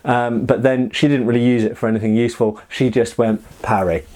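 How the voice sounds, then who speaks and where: A man's voice: mid-range voice, little pitch movement, fairly quick, a little mellow. A man hosting a conversational review, explaining techniques with firm opinions and emphatic asides. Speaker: host, martial arts commentator.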